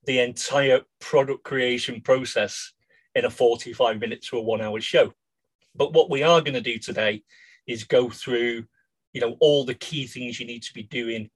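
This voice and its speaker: A man with a croaky voice, co-hosting a casual business podcast and often checking in with "yeah?". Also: mid-range voice. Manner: addresses listeners as guys, ends lines with "yeah?". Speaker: podcast co-host.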